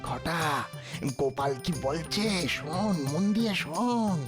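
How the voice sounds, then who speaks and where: Conspiratorial greedy cartoon schemer. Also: male voice, fairly high pitch, animated, slow, loud music behind the voice.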